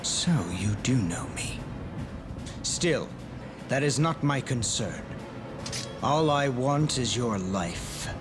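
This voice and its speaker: A grim shadow knight speaks slowly and coldly over prominent music. His mid-pitched voice is measured and brooding, yet swings expressively through his fantasy game drama. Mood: cold menace. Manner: deadpan threats, grim, measured. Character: cold, brooding male shadow knight swordsman. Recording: game scene under loud music.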